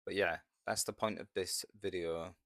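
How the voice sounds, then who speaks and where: A man's voice: low-pitched, fairly even intonation, moderate pace, fairly bright tone, dry recording, clean studio sound. A man in his thirties with a Yorkshire accent, talking hesitantly and self-consciously into a small microphone in his first vlog. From England. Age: 30s. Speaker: vlogger. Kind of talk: nervous first vlog to camera.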